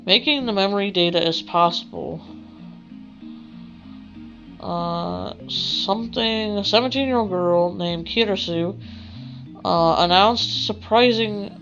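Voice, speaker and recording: A woman reading a visual novel aloud as a let's play, with a halting delivery that corrects itself. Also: fairly low pitch, a bit bright. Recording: dull lo-fi mic with music behind. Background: game music.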